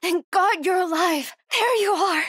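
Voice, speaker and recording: High-voiced, frightened young woman survivor. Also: unhurried, fairly bright tone, no echo, studio quality. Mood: relieved, concerned. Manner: breathy urgent self-talk, pleading. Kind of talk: video game horror voice acting.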